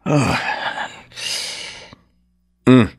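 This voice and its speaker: An actor playing a hot-tempered, hard-drinking Filipino father with a soft spot for his daughter, in a radio drama. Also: very slow, no room sound, studio quality. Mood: tender, apologetic. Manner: combative, wheedling, apologetic with his child.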